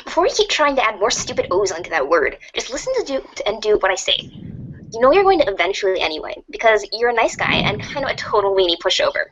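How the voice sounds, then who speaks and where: A woman's voice: mid-range voice, very animated, quick pace, fairly bright tone. A woman voicing a bossy, needling blind troll girl in an amateur reader's theater. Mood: teasing, insistent. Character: teasing, bossy blind troll girl, a leet-speaking online schemer. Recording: muffled lo-fi, noisy home recording.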